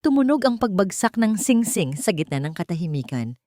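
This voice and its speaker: A woman's voice: medium pitch, very animated, brisk, mellow, no echo. Woman narrating a dramatic love story, dry sound.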